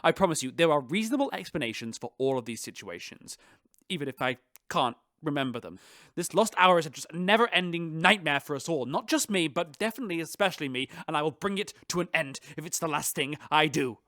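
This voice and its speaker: An actor playing a defensive, self-pitying starship captain who over-explains himself earnestly in a sci-fi radio drama. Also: male voice, a little high, animated, brisk, a bit bright, no echo, studio quality. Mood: flustered, defensive.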